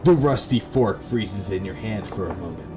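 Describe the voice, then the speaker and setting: Male gamer-narrator, low voice, animated pitch, dramatic reading with offhand asides, atmospheric music underneath. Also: normal speed. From Canada. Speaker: gamer reading a visual novel aloud. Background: atmospheric game music.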